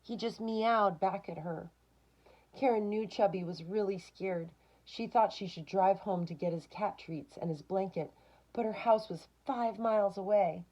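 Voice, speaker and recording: A woman's voice: mid-range voice, measured pace, a little mellow, highs cut off. A woman reading a picture book aloud to children, expressively, with short asides of her own.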